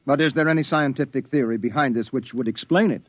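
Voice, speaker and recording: A man's voice: medium pitch, quick pace, no echo, natural voice. An actor playing a young male reporter in a 1950s radio drama, asking skeptical questions. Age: young adult. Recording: dull old radio recording.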